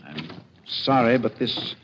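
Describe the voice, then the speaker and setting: Courteous medium-pitched man in muffled old radio drama. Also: expressive, takes it slowly. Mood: earnest. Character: polite, earnest amateur photographer recounting an intrusion. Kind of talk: 1940s radio mystery acting. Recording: muffled 1940s radio recording, faint noise.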